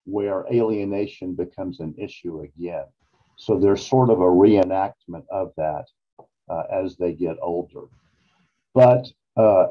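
A man in his sixties explaining things as an expert guest in a measured, emphatic way on a video call. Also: on the low side. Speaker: psychologist interview guest. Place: small office, indoors. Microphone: video call computer microphone. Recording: dull, lo-fi video-call audio.

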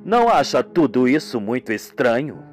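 A man's voice: mid-range voice, sing-song, normal speed, soft background music, voice pushed down, close to an everyday voice. A man playing a formal, probing male police investigator in a radio soap.

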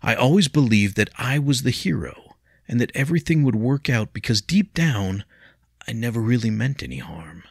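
A man's voice: medium pitch, lively intonation, normal speed, gravelly, husky, no echo, studio quality, pitched above the performer's own voice. A man playing a remorseful young nobleman in an audiobook story, speaking heatedly and bitterly with mirthless resolve.

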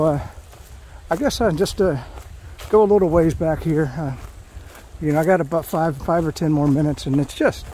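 A man's voice: a little high, warm tone, a little hiss or hum. A middle-aged man talks casually while hiking a forest trail, rambling with many fillers and restarts. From Florida. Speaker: hiking vlogger.

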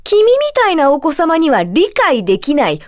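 A smug, bookish schoolboy magician from a video game. The voice sits high and moves in big, animated leaps. The delivery is theatrical and pompous, that of a brainy little snob who is easily flustered. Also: female voice, moderate pace. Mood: smug, scolding. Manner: pompous, theatrical, clearly declaiming. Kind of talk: Japanese video game character voice clips.

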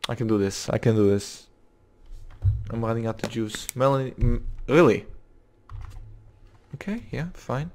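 A man's voice: mid-range voice, expressive, a little slow, pauses often, darkish tone. A young man streaming a roleplay game, muttering to himself while typing and restarting his sentences often. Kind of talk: live-streamed roleplay commentary.

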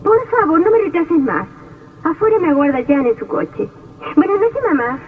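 A woman's voice: very high-pitched, sing-song, normal speed, far from the mic, narrow, tinny audio, slight background noise. An actress playing a willful, romantic young woman of twenty in theatrical old radio melodrama style. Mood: impatient, defiant. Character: willful, romantic twenty-year-old daughter, impatient and dreamy. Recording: thin old radio drama recording, faint music.

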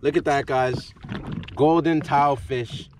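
A young man giving casual, excited fishing commentary in short, repeated exclamations, recorded outdoors on the water. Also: a little high, a little slow. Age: young adult. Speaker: fishing vlogger. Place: outdoors on a jet ski at sea. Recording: noisy outdoor boat recording. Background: boat and open-water noise.

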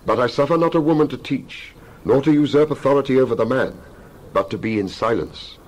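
A man giving a dramatized scripture reading as a Bible narrator, solemn and emphatic, with measured oratorical phrasing. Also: medium pitch, poor audio. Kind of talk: dramatized scripture reading, King James English.

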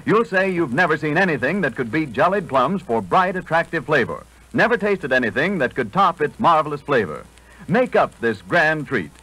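Casting: man, vintage radio pitchman, lively and polished, slightly high voice. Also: normal speed, husky, low-quality recording. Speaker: radio announcer. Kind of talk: 1940s radio ad read, polished and enthusiastic. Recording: vintage 1940s radio broadcast.

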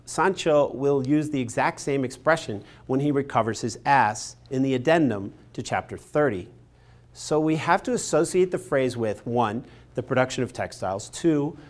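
A man in his forties giving a scripted literature lecture in a studio. He has an expressive, emphatic storytelling delivery and speaks into a lapel mic. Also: mid-range voice. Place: studio in front of a painted backdrop.

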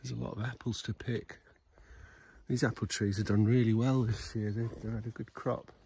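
A man giving a relaxed, rambling outdoor tour of his smallholding, filmed on a handheld phone. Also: medium pitch, normal speed, creaky, slightly rough. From Scotland. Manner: relaxed, rambling, with restarts and fillers. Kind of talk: casual walking tour chat. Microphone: handheld phone camera.

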